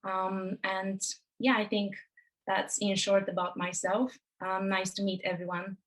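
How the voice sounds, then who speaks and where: Woman from Bulgaria, narrow flat delivery. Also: medium pitch, measured pace. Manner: hesitant, many ums. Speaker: panelist. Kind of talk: online panel introduction.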